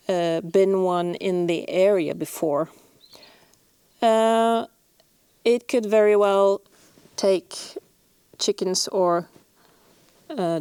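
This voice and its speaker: Soft-spoken Norwegian woman, slow and hesitant. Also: fairly low pitch, smooth, dry recording. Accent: Norwegian, mixed American and British. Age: middle-aged. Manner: soft-spoken, reflective, hesitant with many fillers. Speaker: farm vlogger answering viewer questions. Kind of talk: casual Q&A to camera.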